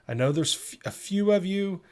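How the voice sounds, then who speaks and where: A man's voice: sits high, expressive, moderate pace, darkish tone. A man co-hosting a tech news show, talking casually and unscripted as he explains things step by step.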